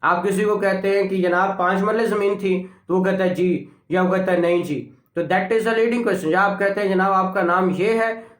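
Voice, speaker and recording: High-pitched Pakistani man lecturing, echoey room. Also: steady tone, moderate pace, hardly any pauses. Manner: didactic, emphatic, repeats key phrases and asks rhetorical questions. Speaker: law lecturer. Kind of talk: classroom lecture on law, mixing in English terms.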